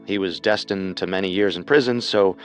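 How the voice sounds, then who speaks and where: Male true-crime co-host, lowish pitch, serious and measured scripted read over faint music.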